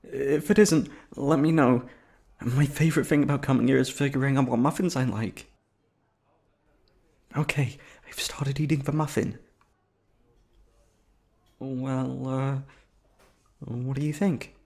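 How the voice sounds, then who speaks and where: A man's voice: medium pitch, fairly even intonation, unhurried, vocal fry, long pauses, darkish tone, echoey room. A man playing a shy, flustered young man on a first date in an ASMR roleplay, rambling and stammering nervously. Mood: sheepish, eager. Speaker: voice actor.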